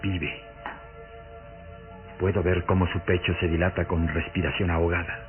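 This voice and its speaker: Actor as a deep-voiced, earnest police inspector. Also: male voice, flat delivery, normal speed, lightly acted. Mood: hushed astonishment. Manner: dramatic, questioning, urgent. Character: earnest, nervous police inspector, resolute and suspicious. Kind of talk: old Latin American radio drama acting. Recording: muffled old radio recording with music.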